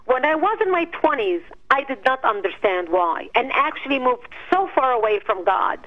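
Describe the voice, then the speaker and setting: A middle-aged woman speaks in a high, carrying voice, earnest and emphatic like a preacher. She talks as a guest sharing her own story. The audio is dull and lo-fi, like a broadcast dub. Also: very animated, normal speed. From Middle East. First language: Arabic. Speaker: guest, activist founder. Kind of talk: impassioned personal testimony.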